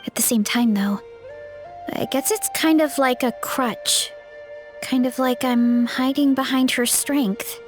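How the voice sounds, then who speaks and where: A woman's voice: sits high, slow, faint music behind. A woman voicing an earnest, self-doubting but determined young girl warrior in a video game, with a sincere manner. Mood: hesitant, confiding. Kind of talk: animated video game voice acting.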